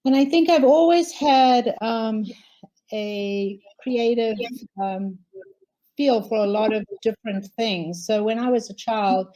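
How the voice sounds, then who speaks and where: An older woman, a quilt designer, answering interview questions thoughtfully with frequent pauses and ums over a video call. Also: fairly high pitch, mellow, highs cut off. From South Africa.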